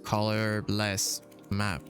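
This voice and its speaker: A man's voice: fairly low pitch, completely flat delivery, very slow, fairly bright tone, faint music behind. A man talking casually and bluntly on a gaming podcast, swearing freely. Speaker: podcast panelist.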